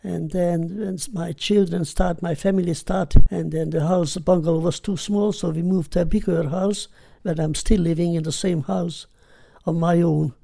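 An older woman from Hungary with a Hungarian accent, recalling her life in an unhurried, reflective way during an oral history interview. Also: on the low side, steady tone, vocal fry, dark tone. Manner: unhurried, reflective, simple phrasing.

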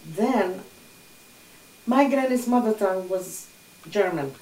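Older woman telling her life story, calm and matter-of-fact, echoey room, mic kinda far off. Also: mid-range voice, slow pace, slight background noise. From Hungary. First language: Hungarian. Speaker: interviewee. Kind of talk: oral history recollection. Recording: roomy echo, distant mic.

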